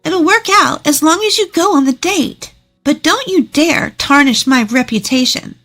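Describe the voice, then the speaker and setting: A story narrator puts on a bossy young woman, the snooty older sister, pitched very high. The delivery is exaggerated and full of scorn, over light music. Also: animated, moderate pace, fairly bright tone. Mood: pushy, scheming. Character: bossy, snooty twenty-eight-year-old older sister.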